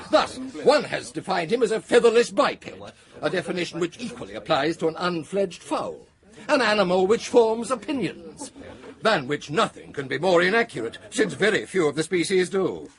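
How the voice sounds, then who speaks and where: A pompous phrenologist lecturer. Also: male voice, high-pitched, very animated, moderate pace. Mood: lecturing. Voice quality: pompous, theatrical delivery. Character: pompous male phrenologist lecturing, older man. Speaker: lecturer at a dinner party. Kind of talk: 1980s radio drama acting.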